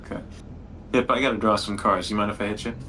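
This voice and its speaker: A man's voice: on the low side, fairly even intonation, quick pace, sharp tone, a little hiss or hum. A man playing a card game who calls out his turns and cracks friendly jokes. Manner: joking, friendly table talk. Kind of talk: casual game-table chat.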